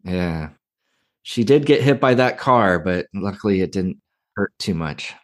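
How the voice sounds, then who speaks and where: A middle-aged man hosting a podcast, talking in a gentle, unhurried, friendly way. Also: low-pitched, expressive. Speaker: podcast host. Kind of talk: interview hosting.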